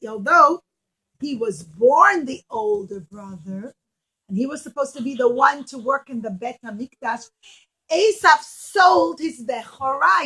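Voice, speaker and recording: Female children's religious teacher, high and bright, simple emphatic storytelling, often repeats and questions. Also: husky, poor audio. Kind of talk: animated Bible storytelling for young children.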